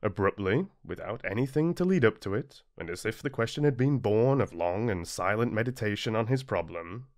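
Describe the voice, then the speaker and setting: A British man narrating a storybook as an audiobook, reading expressively and deliberately with dramatic pauses. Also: on the low side, animated, dry recording, clean studio sound. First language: English. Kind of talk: scripted storybook narration, read-along.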